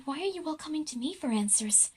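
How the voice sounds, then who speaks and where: A woman's voice: high voice, animated, fast, a little mellow, voice pushed up. A woman voicing an anxious, reluctant schoolgirl in a children's audio drama, hesitant and protesting. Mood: exasperated. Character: anxious, reluctant schoolgirl heroine, self-doubting.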